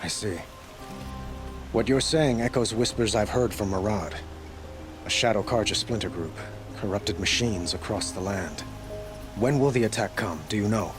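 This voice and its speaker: An actor playing a gracious, grieving young king in a video game cutscene, speaking in a formal, measured, earnest way. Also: lowish voice, over music, lightly acted. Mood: grave.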